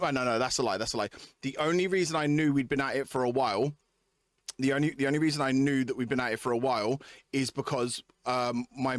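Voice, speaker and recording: A man's voice: medium pitch, no room sound, studio quality. A man chatting casually to viewers as a game streamer, informal and sweary, often repeating himself. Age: adult, parent of young kids. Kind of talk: casual livestream chat with viewers. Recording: music playing behind the voice. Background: clear background music.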